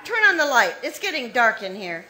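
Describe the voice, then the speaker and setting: A scheming young plotter from an old radio mystery, played by a woman, giving orders in a high, bright tone. She is cold and commanding, and she leaves no room for doubt in how she talks. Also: very animated, normal speed, a faint crowd. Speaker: radio play actor. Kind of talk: 1940s radio acting.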